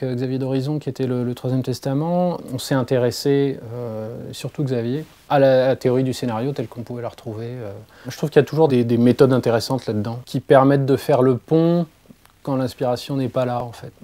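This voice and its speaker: Hesitant Frenchman with a medium-pitched voice. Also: brisk, warm tone. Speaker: comic book author, interviewee. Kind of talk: spontaneous interview talk.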